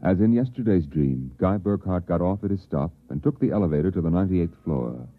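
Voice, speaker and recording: A male actor narrating an uncanny story in a measured, dramatic old-time radio style. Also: low-pitched, lively intonation. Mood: ominous. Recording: tinny old radio recording with music.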